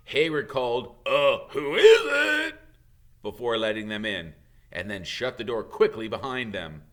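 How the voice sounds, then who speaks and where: Very animated man reading a story aloud. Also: mid-range voice. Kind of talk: expressive storybook narration.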